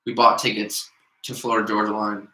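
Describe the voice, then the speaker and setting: A man of about twenty, co-hosting a casual podcast chat, rambling and laughing often as he gets excited. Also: fairly low pitch, brisk. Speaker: podcast co-host. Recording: roomy echo.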